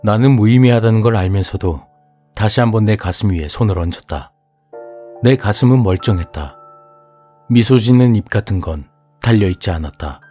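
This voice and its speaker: A man reading a horror audiobook as its narrator, telling the story in a measured, even way. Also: fairly low pitch. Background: soft music.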